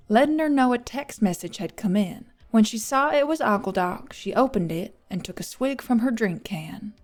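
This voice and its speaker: A woman reading scripted audiobook narration as the storyteller. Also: on the high side.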